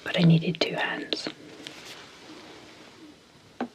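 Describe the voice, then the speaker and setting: A woman speaking softly as an ASMR host, guiding sleep hypnosis in a hushed, gentle, deliberate way with long pauses. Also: low-quality recording. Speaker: ASMR host and clinical psychologist.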